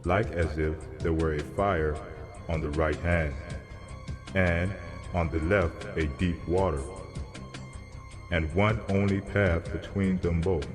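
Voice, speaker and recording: A man voicing a solemn, commanding angel who declaims parables in a grave, measured way in a scripture dramatization. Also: low-pitched, voice pushed down. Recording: long cavernous reverb under music.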